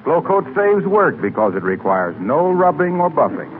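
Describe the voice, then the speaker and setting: A man's voice: on the high side, very animated, moderate pace, a little hiss or hum. American male radio announcer, tinny vintage sound. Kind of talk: 1940s radio announcing and ad read. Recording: tinny 1940s radio broadcast recording.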